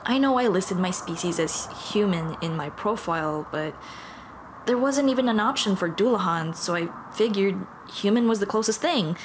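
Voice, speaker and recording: Young female dullahan played by a woman, nervous and apologetic. Hesitant, self-deprecating, intimate ASMR roleplay delivery, mid-pitched, over clear ambient noise. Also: animated, normal speed, lightly acted. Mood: flustered, apologetic.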